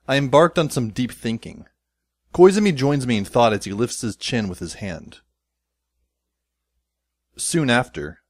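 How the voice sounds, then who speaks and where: Man as wry schoolboy narrator over soft music. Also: medium pitch, lightly acted. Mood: wry, pensive. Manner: dry, sardonic, literary. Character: wry, long-suffering teenage schoolboy narrator. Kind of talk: first-person audio drama narration.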